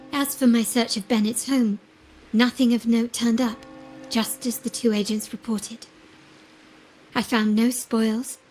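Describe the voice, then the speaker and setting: Casting: shrewd young mafia heiress sleuth for a game, fairly high voice, formal and composed. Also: female voice, darkish tone, rough recording. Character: poised, shrewd young noblewoman investigator of crime family. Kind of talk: video game voice acting.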